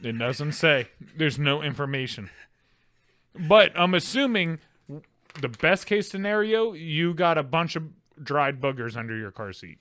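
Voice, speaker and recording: A man's voice: on the high side, very animated, a little slow, fairly bright tone, dry recording. A young man co-hosting a podcast in casual chat, loose and joking, cursing and acting out quoted lines. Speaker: podcast co-host.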